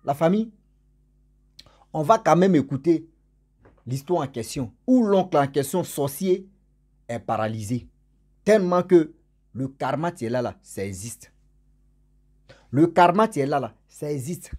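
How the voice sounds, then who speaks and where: Husky man with a cold telling a story. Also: animated, unhurried, pauses often. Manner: emphatic and repetitive, swinging between loud stresses and trailing low endings. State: has a cold and a cough. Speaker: storyteller for his channel's subscribers. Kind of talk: casual spoken storytelling.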